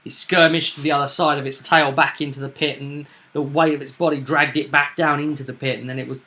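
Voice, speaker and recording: A man co-hosting as game master, casually recapping a tabletop session with drawn-out, dramatic stresses. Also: fairly high pitch, little pitch movement, sharp tone.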